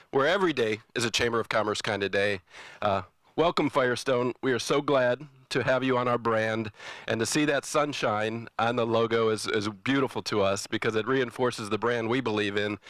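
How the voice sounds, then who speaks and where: A man's voice: mid-range voice, fairly bright tone, no echo. A man giving an upbeat welcome speech as a chamber of commerce leader, boosterish and folksy.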